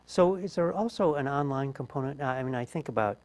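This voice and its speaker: A man's voice: medium pitch, brisk, a little mellow. Conversational male interview host. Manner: conversational, thinking aloud with fillers.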